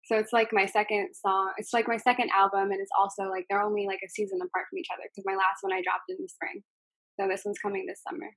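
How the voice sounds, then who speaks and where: A young woman who sings, answering interview questions in a soft voice, with many ums and likes. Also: mid-range voice, little pitch movement, quick pace, no room sound, rough recording. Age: young adult. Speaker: singer being interviewed.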